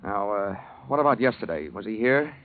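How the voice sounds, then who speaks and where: A man's voice: lowish voice, expressive, brisk, close to an everyday voice. An American actor playing a wisecracking, hard-boiled male insurance investigator in an old radio drama, with a wry, clipped delivery. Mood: brisk, probing. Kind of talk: 1940s-50s radio detective acting, hard-boiled narration. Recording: tinny vintage radio broadcast recording.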